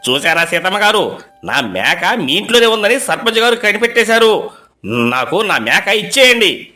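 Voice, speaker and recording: A man voicing a fussy villager pleading about his lost goat in a high, whiny voice. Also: very animated, normal speed, pushed and bright, faint music behind, heavily acted.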